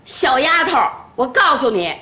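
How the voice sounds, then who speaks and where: A domineering older grandmother, nagging and scolding with a sharp tongue. Her voice sits high and bright and leaps about wildly. The scene rings with room echo. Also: very animated, unhurried, full character voice. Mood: taunting. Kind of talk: TV drama acting.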